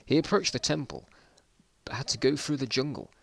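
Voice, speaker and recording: A man's voice: mid-range voice, a little fast, gravelly, no echo. A man reading his own old stories aloud in a casual dramatic style, with self-mocking, bemused asides.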